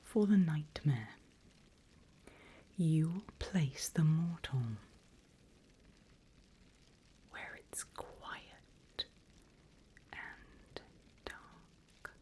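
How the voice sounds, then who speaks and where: A woman playing a seasoned demon mentor in a whispered ASMR roleplay, breathy and deliberate, playfully sinister and teasing. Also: deep voice, dark tone. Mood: ominous storytelling.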